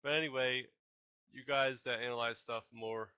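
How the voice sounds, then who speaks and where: A man giving unscripted, speculative commentary in a halting, rambling way, as an amateur talking through his own video. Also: mid-range voice, fairly even intonation, pushed and bright, smooth. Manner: hesitant, rambling, halting delivery. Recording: dull lo-fi home recording.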